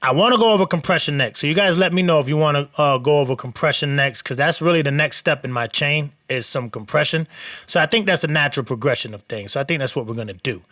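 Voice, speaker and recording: A man's voice: a little high, fast talker, no echo. A man with a nasal voice, hosting a casual studio tutorial on mixing. He talks loosely and rambles a little, with plenty of fillers. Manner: relaxed, rambling explanations with fillers. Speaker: mixing engineer and channel host. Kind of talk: casual screen-share tutorial.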